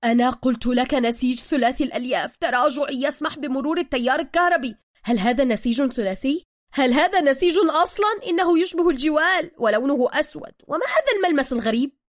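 A woman's voice: on the low side, rapid-fire, warm tone, no room sound, studio quality. A woman voicing a stern, exacting male masked vigilante who speaks formal, bookish Arabic in an audio story. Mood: exasperated.

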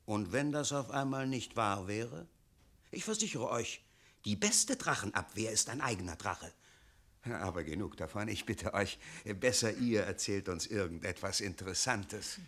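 A resigned, self-satisfied father of the town, speaks in a radio play. His voice is on the low side, gravelly and husky, with a placid, explanatory manner. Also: animated, normal speed, a bit bright. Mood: smugly defensive. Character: resigned, complacent townsman father defending the town's tyrant. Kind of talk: theatrical radio play acting.

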